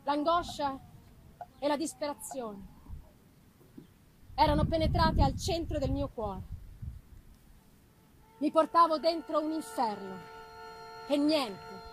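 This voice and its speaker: A woman narrating as a guilt-tormented man in a brooding, dramatic literary reading with deliberate phrasing. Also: sits high, a bit bright, slight background noise, low-quality recording. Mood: anguished. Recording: live music behind.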